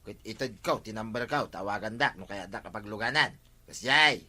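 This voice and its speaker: A man's voice: lowish voice, a little fast, sharp tone. A man with an Ilocano accent playing an easygoing, scheming tricycle driver and chummy drinking buddy in a comedy drama. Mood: evasive. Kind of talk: comedy radio-style drama acting.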